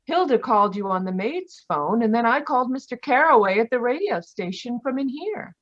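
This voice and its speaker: A woman acting as a proud, melodramatic suspect in a vintage radio mystery. Her fairly high voice swings widely in pitch, on slightly dull, lo-fi audio. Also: animated, fairly quick. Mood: shaken. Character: proud, scornful female murder suspect, melodramatic.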